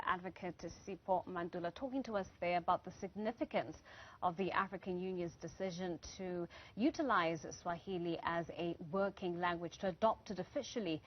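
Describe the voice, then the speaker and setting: Measured woman news anchor. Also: lowish voice, lo-fi sound. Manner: measured, formal, steers the conversation. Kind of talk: news interview.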